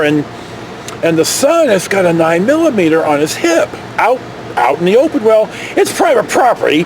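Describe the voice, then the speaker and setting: A man's voice: high voice, background noise. An American man from California tells a casual, rambling anecdote in a folksy, digressive, mildly profane way. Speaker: storytelling vlogger.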